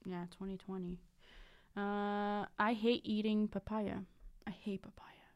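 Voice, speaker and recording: Brazilian woman co-hosting a podcast, chatty, laughs a lot, some vocal fry, mid pitch. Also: flat delivery, unhurried, dull audio. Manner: chatty, laughs easily, trails into soft asides. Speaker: podcast co-host. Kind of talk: casual chat.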